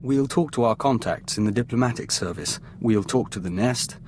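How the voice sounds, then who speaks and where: A man acts a steady young-hero sort of agent in a video game, earnest in tone. His pitch is medium, with faint ambience behind him. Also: moderate pace, a little hiss or hum, close to an everyday voice.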